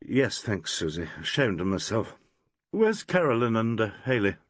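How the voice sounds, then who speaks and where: Man playing a theatre manager, quiet, clipped, animated pitch, dry sound. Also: moderate pace, dry recording. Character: shaken theatre manager, round-faced, quiet authority.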